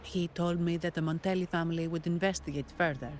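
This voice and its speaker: Woman acting a composed, low-voiced female bodyguard guide. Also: fairly even intonation, lightly acted. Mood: polite, apologetic. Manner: measured, formal, businesslike. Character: composed, dutiful woman hired as guard and guide. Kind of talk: video game voice acting. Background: background music.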